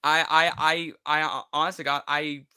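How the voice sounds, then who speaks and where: A man's voice: a little high, little pitch movement, moderate pace, sharp tone, clear, resonant tone, no room sound, clean studio sound. An American man chatting casually as a podcast guest and telling anecdotes. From United States.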